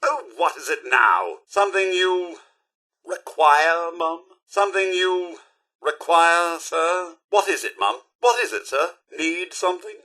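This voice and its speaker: A fussy robot butler from a video game, British and impeccably formal. The voice sits high and swoops theatrically, with a bright, forceful edge. He is loyal and deferential, with dry sarcasm never far away. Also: expressive, measured pace. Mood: weary, irritated. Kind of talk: scripted video game voice lines.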